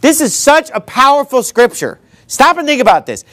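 Preacher, man in his thirties, high-pitched, lively and conversational, reads scripture. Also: moderate pace. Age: 30s. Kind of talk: sermon.

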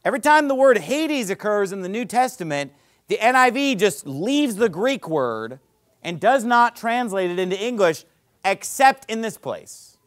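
High-voiced man preaching emphatically. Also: big pitch swings, moderate pace. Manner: emphatic preaching, swinging between loud peaks and low drops; sarcastic asides. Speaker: pastor. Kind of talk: sermon.

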